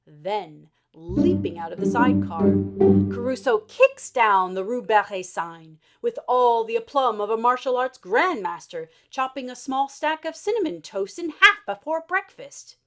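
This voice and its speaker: A woman storyteller reading a children's story aloud expressively, with theatrical emphasis and dramatic pauses. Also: a little high, big pitch swings, fairly bright tone, soft background music.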